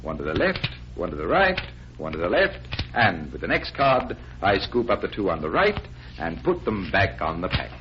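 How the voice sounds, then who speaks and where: A genial, self-assured American stage magician from 1940s radio. His mid-pitched voice moves up and down with showman flair as he instructs deliberately. The old recording is muffled and noisy. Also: big pitch swings. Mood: assured, instructive.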